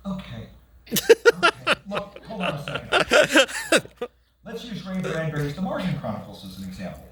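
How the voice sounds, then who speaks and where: A man's voice: sits high, wildly swooping pitch, normal speed, a crowd in the background. A man playing an earnest, defensive fiction writer in a staged play reading, argumentative and theatrical. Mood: indignant. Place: indoor theatre stage, far from the camera. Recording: echoey theatre, distant camera, faint noise.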